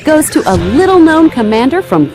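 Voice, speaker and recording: An actress playing a brisk, triumphant television news reporter in a video game cutscene. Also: female voice, high-pitched, very animated, over music.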